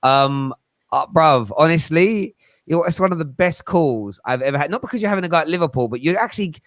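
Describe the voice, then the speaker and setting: A man's voice: on the high side, lively intonation, fairly quick, a bit bright, no echo, clean studio sound. A man hosting a live football show in a matey, slang-heavy, emphatic way. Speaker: host. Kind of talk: casual live show chat.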